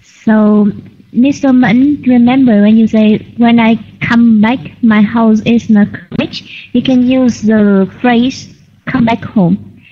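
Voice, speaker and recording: A woman teaching an online English class, correcting students patiently and clearly. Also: fairly high pitch, fairly even intonation, slow, dark tone, slight background noise. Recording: lo-fi online call audio.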